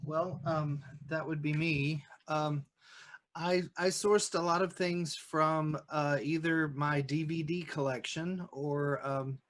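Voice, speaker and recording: A man with a fairly high voice talks casually on an online panel. His delivery is halting and hesitant as he explains his work. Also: steady tone. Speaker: sound and music sourcer. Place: remote group video call.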